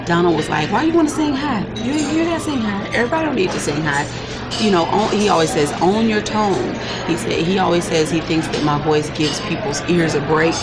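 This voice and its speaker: A woman gospel singer with a low, deep, smoky voice, chatting in a relaxed, talkative way as an interview guest and growing animated when she tells stories. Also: brisk, loud music behind the voice. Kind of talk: casual interview chat.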